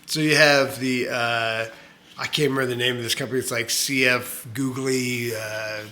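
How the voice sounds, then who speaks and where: Middle-aged guy rambling about his knives, bright forceful voice, lots of uhs and goofy self-jokes. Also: medium pitch, fairly even intonation, moderate pace. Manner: self-mocking, jokey, rambling with many fillers. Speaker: knife review channel host. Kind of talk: casual rambling knife review.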